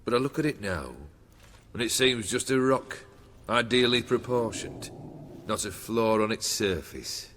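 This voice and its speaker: A man's voice: mid-range voice, measured pace, a bit bright. A man playing a jaded noble outlaw chief in a video game, languid, philosophical and theatrical. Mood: jaded, musing. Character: jaded, world-weary nobleman leading a band of outlaws. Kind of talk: video game cutscene acting. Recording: long reverb, faint background noise.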